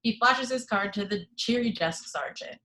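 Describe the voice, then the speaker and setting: A woman narrates scene headings and action from a script. Her voice is of medium pitch, in the scripted reading style of an amateur table read. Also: normal speed, sharp tone, a little hiss or hum, rough recording.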